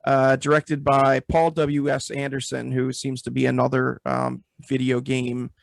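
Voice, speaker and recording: A man's voice: mid-range voice, completely flat delivery, measured pace, gravelly, no room sound. A man hosting a casual movie review chat. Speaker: podcast host.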